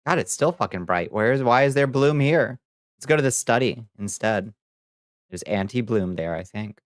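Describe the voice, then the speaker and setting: A man hosting a livestream, chatting casually with viewers and commenting on news in a halting, profane, muttering way. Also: mid-range voice, expressive, dry recording, clean studio sound. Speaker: livestream host reading viewer chat.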